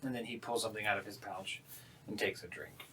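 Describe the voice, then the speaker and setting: A man narrating a live tabletop roleplaying game as game master, casual and halting as he improvises. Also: fairly low pitch, steady tone, bright, forceful tone, slight background noise, poor audio. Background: plucked guitar music.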